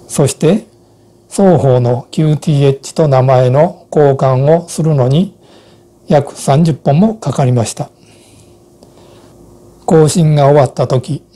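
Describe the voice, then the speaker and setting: A man in his sixties reading a scripted account and instructions in a measured, formal way. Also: mid-range voice, dark tone. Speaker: co-host of an amateur radio Morse code channel. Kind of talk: scripted personal narration and instruction.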